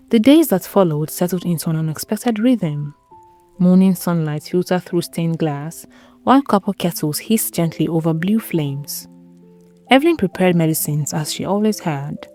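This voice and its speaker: A woman narrating a scripted dramatic story in a measured, solemn, literary manner. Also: lowish voice, expressive, mellow, faint music behind.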